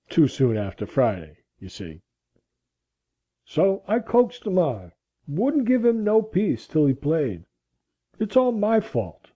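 A woman voicing a stubborn old country man with a husky voice and folksy phrasing, in a storybook reading. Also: low voice, warm tone, muffled recording, voice pushed down, heavily acted. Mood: deprecating, coaxing.

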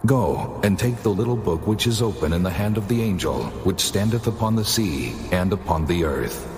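A man's voice: low voice, lively intonation, normal speed, darkish tone, slight background noise. A man playing a grave, commanding voice from heaven in a dramatized scripture audiobook, solemn and authoritative. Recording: echoing voice over faint music.

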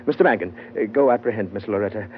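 An actor playing a pompous, theatrical gentleman trader who speaks in florid, formal phrases in an old radio drama. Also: on the low side, racing, close to an everyday voice. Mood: pleased, pompous. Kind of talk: 1940s-50s radio adventure acting. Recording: muffled, faintly noisy old radio recording.

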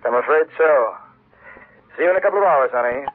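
An actor playing a smooth, scheming attorney and new husband in a 1950s radio drama. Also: male voice, mid-range voice, animated, brisk, close to an everyday voice. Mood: conspiratorial. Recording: muffled, noisy 1950s radio recording.

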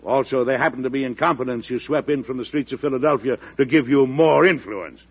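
A man's voice: mid-range voice, brisk, husky. An actor playing a stern, shrewd middle-aged president and father in an old-time radio drama, speaking formally and with authority. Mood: indignant, commanding. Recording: dull lo-fi old radio recording.

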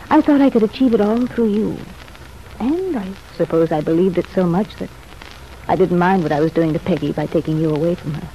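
An actress playing a contrite, earnest young ex-wife in an old-time radio soap opera, speaking in a confiding, measured way. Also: female voice, on the low side, muffled recording, natural voice. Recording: noisy, band-limited vintage radio recording.

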